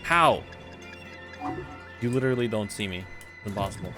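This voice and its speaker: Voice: medium pitch, huge pitch swings, moderate pace, vocal fry, music in the background. A game streamer giving casual, informal playthrough commentary, muttering and reacting aloud.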